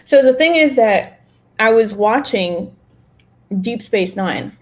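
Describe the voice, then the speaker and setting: A woman's voice: fairly high pitch, animated, slow. Casual woman co-hosting a podcast. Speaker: podcast co-host.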